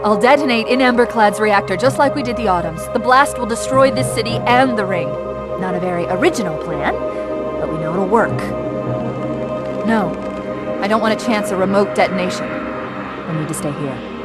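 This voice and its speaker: An actress playing a calm, determined starship AI in a video game cutscene. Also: female voice, mid-range voice, loud music behind the voice, natural voice. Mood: resolute. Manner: brisk, determined.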